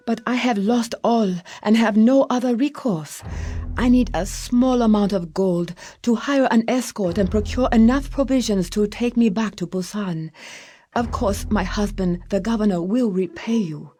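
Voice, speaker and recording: A woman's voice: mid-range voice, a little slow, mellow, faint music behind, lightly acted. An actress playing a gracious, formal noblewoman in a video game, speaking politely. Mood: distressed, pleading. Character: gracious noble lady, a governor's wife, politely pleading. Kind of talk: scripted video game voice acting.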